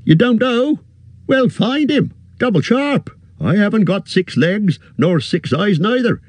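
A man reading aloud and voicing a fat, bustling, forgetful middle-aged innkeeper in a high, flustered voice. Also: animated, measured pace, slightly rough, dry recording, full character voice. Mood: harried. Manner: flustered, chattering, high-pitched.

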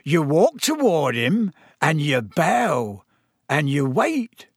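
Storybook role: huge, eager rustic creature-keeper played by a man, booming and folksy, very animated delivery. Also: takes it slowly, dry recording, clean studio sound, exaggerated voice. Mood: earnest instruction. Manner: booming, folksy, ungrammatical speech. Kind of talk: audiobook character voice.

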